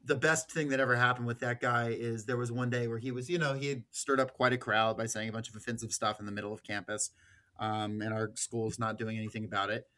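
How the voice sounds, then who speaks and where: A man's voice: mid-range voice, level tone, fast talker, pushed and bright. A man hosting a podcast, talking informally and slangily in a casual style of political chat and storytelling. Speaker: podcast host.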